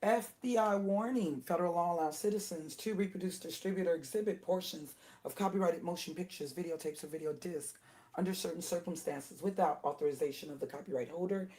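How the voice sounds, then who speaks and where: A middle-aged woman with a naturally low alto voice that has gone hoarse and strained. She hosts a casual livestream and talks in stops and starts, breaking off and apologizing before she gets going. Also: warm tone, rough recording. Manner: halting, with many restarts and apologies. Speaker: livestream host.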